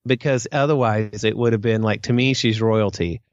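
A man's voice: lowish voice, steady tone, normal speed, no room sound, dull audio. A man co-hosting a podcast in casual fan discussion, thinking aloud and hedging as he goes. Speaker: podcast co-host.

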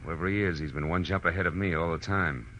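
Deep-voiced hard-boiled private eye. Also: male voice, fast, bright, forceful tone, a little hiss or hum. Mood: brisk, wry. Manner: terse, sardonic, dramatic. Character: tough, wry male private detective narrating his case. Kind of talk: 1940s radio drama acting, hard-boiled narration. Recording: muffled old radio recording with music.

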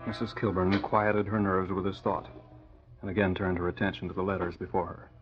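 Deep-voiced vintage radio narrator, male. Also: level tone, brisk, soft background music. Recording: muffled old radio recording.

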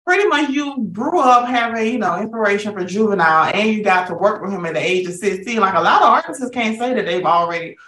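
A woman's voice: mid-range voice, lively intonation, fast talker, few pauses, fairly bright tone. A woman hosting a casual interview, chatting in slang and affirming what her guest says. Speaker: interviewer, host. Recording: roomy, echoing voice pickup.

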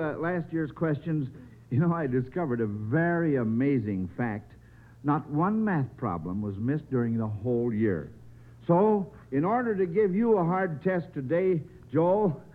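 A man hosts an old-time radio quiz with a folksy, encouraging manner. He talks slowly in a raised-pitch voice. The recording is tinny and narrow, like a 1950s broadcast. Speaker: quiz show host.

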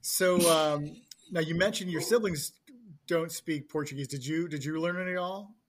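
A man from the Midwest, guest host, asking casual, halting interview questions and giving short affirmations. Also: on the high side, a crowd in the background. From Midwest, United States.

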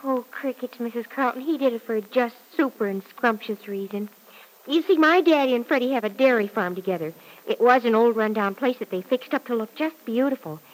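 An actress playing a wholesome young girl in an old radio drama, with a very high voice. She is naive and polite, gushing earnestly and deferring to her elders. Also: expressive, fairly quick, lightly acted, low-quality recording. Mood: earnest, pleading. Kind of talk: vintage radio serial acting. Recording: vintage radio drama recording.

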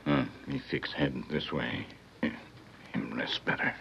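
An actor playing a watchful sidekick in an old radio western, speaking clipped, grunting broken English. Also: male voice, a bass voice, huge pitch swings, takes it slowly, vocal fry, full character voice. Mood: grave. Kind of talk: old-time radio acting. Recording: dull, faintly noisy old radio recording.

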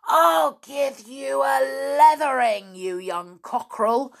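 A woman voicing a bad-tempered circus man who shouts angry threats, reading a storybook aloud. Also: high-pitched, expressive, takes it slowly, gravelly, heavily acted. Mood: furious.

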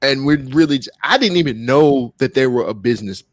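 A man's voice: medium pitch, expressive, a little fast, dry recording, studio quality. A man hosting a podcast, chatting casually and teasing his co-host with jokes. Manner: teasing, joking. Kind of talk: casual chat.

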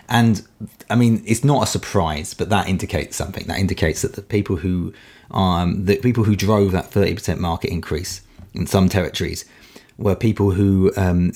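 A man with a very low, croaky voice, speaking casually on a podcast panel. He thinks aloud and often restarts his sentences mid-thought. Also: a little mellow. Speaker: podcast panelist, industry editor.

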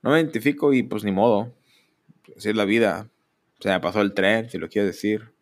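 A man in his thirties with a Mexican accent, hosting a solo podcast and speaking candidly in a rambling way. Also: brisk. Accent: Mexican Spanish. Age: 30s. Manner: candid, rambling, mocks others in exaggerated high voices. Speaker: podcast host.

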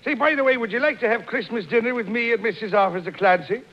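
A man's voice: very high-pitched, quick pace. An actor playing a weary, wry Irish policeman fond of a drink, in old-time radio comedy. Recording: muffled old radio broadcast.

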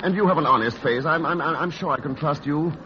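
A man's voice: fairly high pitch, a little fast, natural voice. A man playing a suave, flirtatious playboy in a 1940s radio romantic comedy, polite and hesitant in his charm. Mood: smooth, flirtatious. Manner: hesitant, polite, smooth-talking. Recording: muffled, noisy old radio broadcast.

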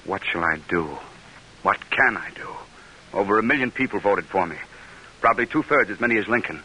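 An actor playing a middle-aged senator, grave, earnest and formal in delivery, in an old-time radio drama. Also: male voice, on the low side, lively intonation, a little fast, bright, forceful tone, low-quality recording. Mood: troubled, resigned. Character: defeated middle-aged senator, earnest, weary, devoted statesman. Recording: hissy vintage radio broadcast recording.

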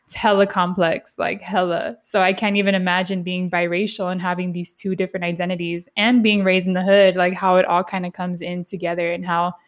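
A woman's voice: fairly low pitch, level tone, a little fast, hardly any pauses. A woman hosting a podcast, interviewing in a casual, conversational way with slangy, affirming phrasing. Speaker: podcast host.

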